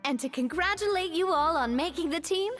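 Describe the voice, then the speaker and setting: A cheery, lively young woman. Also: extremely high pitch, a little fast. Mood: cheerful. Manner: bright and upbeat. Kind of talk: video game dialogue. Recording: reverberant game audio with faint music.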